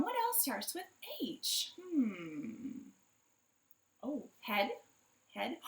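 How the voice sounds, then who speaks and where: A woman's voice: mid-range voice, sharp tone. A woman hosting a children's storytime, telling stories playfully and putting questions to her young listeners, recorded in a living room. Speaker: library storytime host. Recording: roomy home echo.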